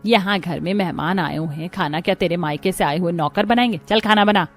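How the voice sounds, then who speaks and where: A woman's voice: lowish voice, brisk, lightly acted. Woman doing a bossy older rich saas, scolding and scornful, cartoon story, music behind. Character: harsh, scornful older rich mother-in-law.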